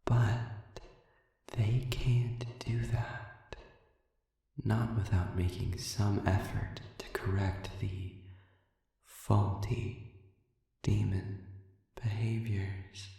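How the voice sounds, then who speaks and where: A cavernous, heavily echoing space carries the voice of a sadistic demon played by a man. He is dark and low, breathy to the edge of a whisper, and manipulates with formal, condescending, deliberate phrases in an intimate audio roleplay. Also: fairly even intonation, full character voice. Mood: taunting, calculating. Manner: measured, deliberate, condescending, formal wording with lingering pauses. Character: suave, sadistic, manipulative male demon prisoner. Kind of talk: audio roleplay, slow intimate monologue addressed to listener. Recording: echoey hall-like reverb, distant.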